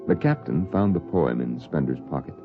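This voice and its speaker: An actor as a grave literary storyteller, narrating a 1950s radio drama in a measured, solemn manner. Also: male voice, rumbling low, expressive, over music. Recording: dull vintage 1950s radio recording.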